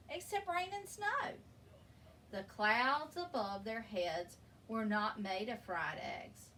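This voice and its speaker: A woman reading a children's picture book aloud in an expressive, deliberate storytelling manner, leaning on key words. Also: mid-range voice. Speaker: story reader. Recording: distant microphone with background noise.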